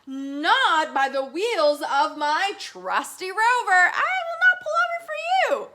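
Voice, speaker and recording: A plucky little alien from a children's story, voiced by a woman. The voice is high and bright, flustered one moment and cheeky the next. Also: sing-song, takes it slowly, full character voice. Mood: defiant.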